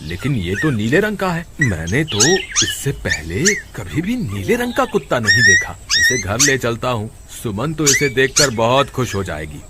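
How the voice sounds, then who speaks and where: A man's voice: mid-range voice, wildly swooping pitch, moderate pace, few pauses. A man voicing a caring, earnest village farmer in a children's cartoon story. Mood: surprised, concerned. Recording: noisy background.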